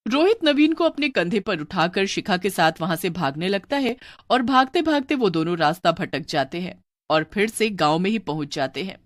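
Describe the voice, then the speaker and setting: A woman's voice: medium pitch, lively intonation, normal speed, dry recording, clean studio sound. A woman narrator reading a scripted story in a dramatic storytelling style.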